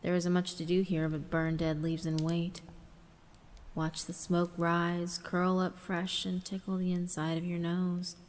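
Slow, low-voiced woman narrating in long reverb. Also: completely flat delivery, a little mellow. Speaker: visual novel narrator. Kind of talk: dramatic first-person scripted narration. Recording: long reverb, music underneath. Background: background music.

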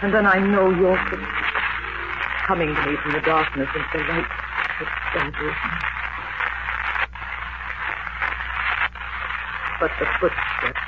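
An actress playing a young woman who longs for the man in her dreams, speaking a slow, wondering inner monologue in a 1940s radio drama. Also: low voice, lightly acted. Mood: frightened. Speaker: actress in lead role. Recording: dull 1940s radio broadcast, heavy hiss.

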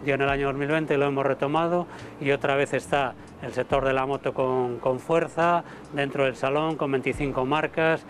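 A man in his fifties, a motor show director, speaking to camera in a halting way with many fillers and repeated words, recorded outdoors on a lapel mic. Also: medium pitch, steady tone, slight background noise. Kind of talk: interview to camera. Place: outdoor terrace above a city port.

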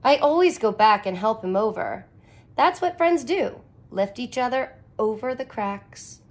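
Slow-paced woman reading to young children. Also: fairly high pitch. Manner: expressive, simple explanatory phrasing for children. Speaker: elementary teacher reading aloud. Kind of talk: picture book read-aloud with commentary for young children. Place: small furnished room indoors.

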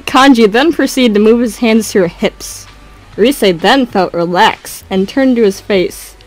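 A woman's voice: a little high, unhurried, soft background music, poor audio. A young adult woman doing a joking dramatic reading, narrating with irreverent asides. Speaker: co-reader and narrator.

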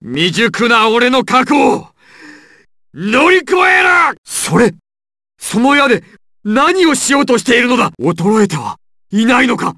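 Arrogant anime mob boss villain, super theatrical and threatening, dry studio sound, game voice lines. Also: male voice, extremely high pitch, very animated, measured pace, pushed and bright, dry recording, exaggerated voice. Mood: furious, shouting. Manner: imperious, menacing, theatrical delivery. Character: proud, ruthless male crime boss villain. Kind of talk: dramatic anime fighting game voice acting.

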